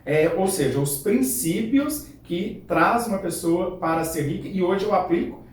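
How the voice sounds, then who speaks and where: A man hosting his channel and interviewing a guest, talking with lots of fillers. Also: measured pace. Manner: animated, many fillers like "é" and "né". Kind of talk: interview, enthusiastic introduction. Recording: very echoey room from far off, faint rumble.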